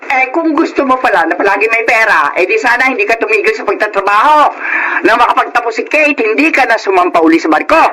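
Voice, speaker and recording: Nagging, thrifty mother, middle-aged. Bright, forceful, animated delivery, sarcastic, radio drama. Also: on the high side, quick pace, rough recording. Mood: angry, scolding. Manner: scolding, sarcastic.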